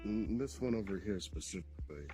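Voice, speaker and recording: A man playing an awakened demon king in a voice-chat roleplay, calm and measured with a menacing edge. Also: deep voice, music in the background. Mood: probing. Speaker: roleplay player.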